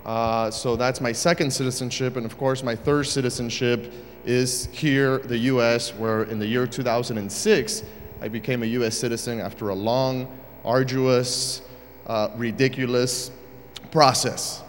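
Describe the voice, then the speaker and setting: A man's voice: mid-range voice, fairly even intonation, a little slow, soft background music. Man preaching in a reverberant church. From Uruguay. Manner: jokey and conversational, then building to emphatic preaching. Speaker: guest preacher. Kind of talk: sermon. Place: church sanctuary.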